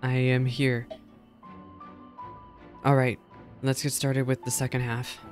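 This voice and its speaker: A man's voice: mid-range voice, monotone, takes it slowly, soft background music. A man giving casual, informal and reactive commentary as he streams a game. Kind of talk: casual gameplay commentary, reading dialogue aloud.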